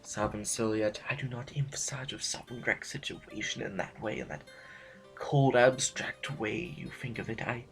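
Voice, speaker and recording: An actor playing a deferential, earnest council master in an audio drama, halting and politely formal, a stickler for etiquette. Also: male voice, medium pitch, a bit bright, faint music behind, natural voice, poor audio. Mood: earnest, gentle correction.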